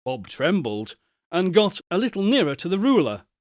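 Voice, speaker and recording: A man narrating an audiobook in an expressive, theatrical storytelling style with deliberate emphasis. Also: high voice, no room sound, clean studio sound.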